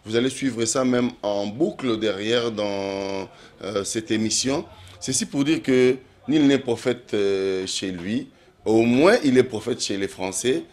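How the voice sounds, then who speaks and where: A man's voice: lowish voice, a little slow, a little mellow. Hesitant male TV host, Lingala asides. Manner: lots of "euh" hesitations, mixes in Lingala. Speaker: TV show host. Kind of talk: TV interview.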